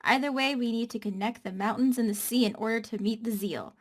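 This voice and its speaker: A woman's voice: on the high side, a little fast. A woman reading character lines aloud in an amateur script table read.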